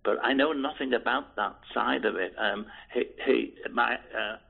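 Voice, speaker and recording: A man in his eighties from Liverpool, England, recalling his life in a hesitant, halting way as an oral history interviewee. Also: mid-range voice, vocal fry, slightly rough. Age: 80s. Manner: hesitant, halting, with many restarts. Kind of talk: rambling reminiscence. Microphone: telephone. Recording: over a phone line.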